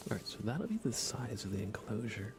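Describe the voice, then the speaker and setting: A man giving hushed, soft-spoken ASMR gaming commentary as a live streamer, often dropping into a whisper. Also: lowish voice, big pitch swings, fairly quick, creaky. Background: music.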